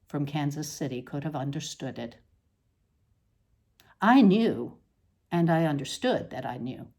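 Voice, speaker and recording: Female narrator for literary essay, dark relaxed tone, deliberate delivery, highly varied intonation. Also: husky. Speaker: essay reader.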